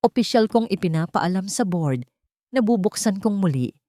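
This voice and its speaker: A woman's voice: mid-range voice, moderate pace, dry recording, clean studio sound, natural voice. Woman voicing a resolute, weighty-voiced male heir. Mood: calm, firm. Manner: calm, weighty, firm delivery. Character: resolute male corporate heir, fiercely protective, defiant.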